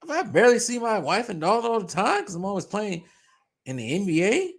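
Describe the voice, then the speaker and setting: A man rants about basketball in a scornful, mocking way, his voice starting to go hoarse. The sound is rough and gravelly. His pitch leaps from low mutters to high, pleading cries. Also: very animated, a little fast. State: going hoarse. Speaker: sports commentator. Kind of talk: ranting basketball commentary.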